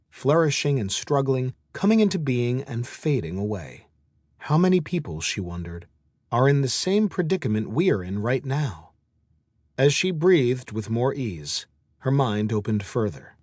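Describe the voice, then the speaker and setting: A man reading a self-help audiobook as scripted narration, in measured phrases with deliberate pauses. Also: medium pitch, no room sound, clean studio sound.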